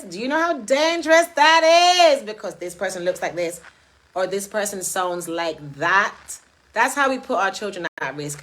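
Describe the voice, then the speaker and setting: A woman's voice: mid-range voice, a bit bright. A woman with a British accent hosting a live stream, talking casually to her chat and making her points with emphatic rhetorical questions. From United Kingdom.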